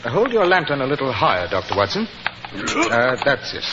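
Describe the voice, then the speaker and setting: An actor playing a proud, reserved English lord with a British accent, polite and formal, in 1940s radio style. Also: male voice, mid-range voice, very animated, fairly quick, natural voice. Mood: polite reserve. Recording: dull, noisy, crackling 1940s radio recording.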